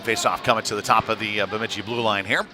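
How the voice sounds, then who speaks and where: A man giving live play-by-play hockey commentary, pausing mid-phrase as he follows the action. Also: on the low side, fairly even intonation. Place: indoor ice rink. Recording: noisy arena, live stream.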